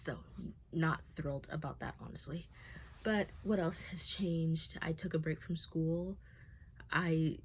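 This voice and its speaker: A young woman of about twenty, with a low-pitched voice, gives a candid update to her camera from home. She sounds nervous and rambles, falling back on fillers and restarting her sentences as she speaks openly about her life. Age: 20s. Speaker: vlogger.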